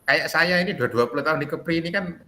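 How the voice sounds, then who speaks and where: A man's voice: fairly high pitch, racing, bright, forceful tone, slight background noise. A man with a Javanese accent, facilitating an online class on a video call, chatty and joking with a chuckle. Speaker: course facilitator.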